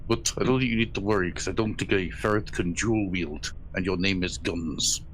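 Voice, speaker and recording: Deep-voiced man playing a tabletop game. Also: moderate pace, slight background noise. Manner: dry, playful, chuckling. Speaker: player. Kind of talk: casual tabletop role-play.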